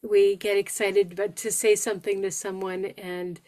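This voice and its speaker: A woman from New York State who has aphasia, speaking slowly and deliberately with careful pronunciation as she shares her experience with a support group. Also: mid-range voice, flat delivery, darkish tone.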